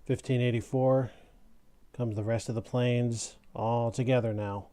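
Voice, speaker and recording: A man's voice: medium pitch, fairly even intonation, unhurried, noisy background. A man doing live gameplay commentary as a streamer, calling out short clipped commands and explaining his moves casually. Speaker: live streamer playing an air traffic control game.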